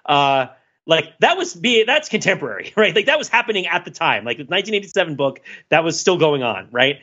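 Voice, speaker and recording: A middle-aged American man co-hosting a podcast, talking casually and analytically in a rambling, animated way. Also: on the high side, fairly quick, lo-fi sound. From United States. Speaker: podcast co-host. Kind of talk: casual discussion and cultural commentary.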